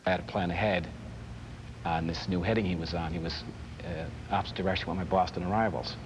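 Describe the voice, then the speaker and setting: Quick, deep-voiced man recounting events. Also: fairly even intonation. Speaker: air traffic controller being interviewed. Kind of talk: interview recollection. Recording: clearly noisy interview audio.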